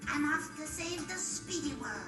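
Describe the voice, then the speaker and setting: A woman's voice: high-pitched, normal speed, pushed and bright, faint music behind. A woman voicing a lovable, earnest snail puppet in a children's stage show. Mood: eager, earnest.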